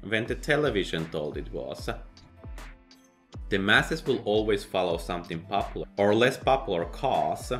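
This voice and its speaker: A man narrating a sardonic book review, dry and mocking with sudden loud outbursts. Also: fairly low pitch. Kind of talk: sardonic video essay narration.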